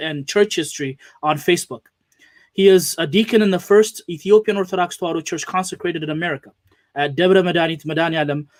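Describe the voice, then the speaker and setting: A man hosting an interview show, speaking earnestly with frequent pauses and fillers. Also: high voice, no room sound. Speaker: host. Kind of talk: hosted interview.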